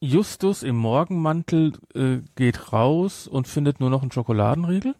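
A man's voice: medium pitch, expressive, normal speed, dark tone, dry recording, clean studio sound. A man hosting a podcast, chatting casually about a film with sarcastic, incredulous asides. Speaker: podcast host. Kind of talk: casual film discussion chat.

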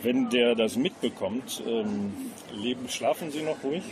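Ein Mann führt als Radiomoderator ein Literaturinterview und formuliert zögernd, mit suchenden Umwegen. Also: male voice, medium pitch, a little slow, background noise. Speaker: radio host and book interviewer. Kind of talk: literary interview.

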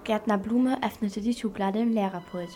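Female narrator of medium pitch. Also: moderate pace, noisy background. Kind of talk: scripted storytelling for children's radio play.

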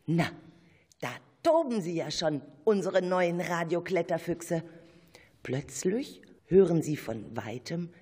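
A woman narrating a children's radio play in an expressive, drawn-out storytelling manner. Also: lowish voice, slightly rough.

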